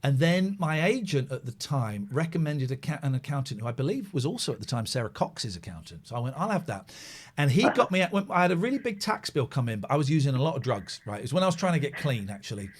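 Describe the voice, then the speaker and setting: A man's voice: medium pitch, fast, slightly rough. A man hosting a late-night call-in radio show, talking casually and swearing a little. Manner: animated, chatty, swearing. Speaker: radio host.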